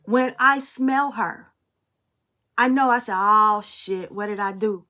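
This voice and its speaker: A woman's voice: medium pitch, slow, pushed and bright. A young adult woman speaking in a casual spiritual monologue as a spiritual reader, blunt and emphatic, swearing freely.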